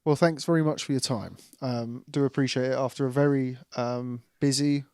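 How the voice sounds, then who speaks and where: A man's voice: medium pitch, steady tone, normal speed, darkish tone, clear, resonant tone, no room sound, clean studio sound. A man interviewing a boxing trainer, asking questions and politely wrapping up. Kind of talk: sports interview.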